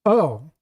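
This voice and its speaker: A man hosting a casual live coin chat, rambling along with plenty of fillers. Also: high-pitched. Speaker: host.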